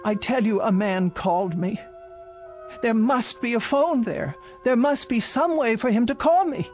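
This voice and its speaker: An imperious, lonely old spinster, played by a woman, in a vintage television drama. She speaks very slowly and haltingly, insisting on every point, with faint music behind her. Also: on the high side, darkish tone, natural voice. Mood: agitated, insistent. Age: older. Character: imperious, lonely elderly spinster haunted by lost fiancé.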